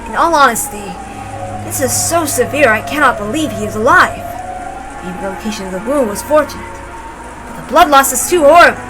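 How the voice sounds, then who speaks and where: A woman's voice: sits high, expressive, brisk, over music, rough recording. A woman playing a grave, worried older male doctor.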